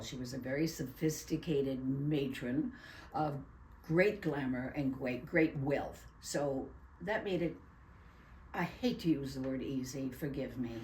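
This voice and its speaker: An older actress from New York tells animated stories about her roles in a casual interview, with laughing asides. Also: very deep voice, a little hiss or hum. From USA (New York). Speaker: guest actress. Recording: roomy echo.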